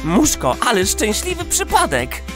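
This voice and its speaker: A man's voice: very high-pitched, huge pitch swings, measured pace, heavily acted. A man playing a friendly, food-loving rat in a children's audio play, exclaiming and savoring his words. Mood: delighted. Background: music.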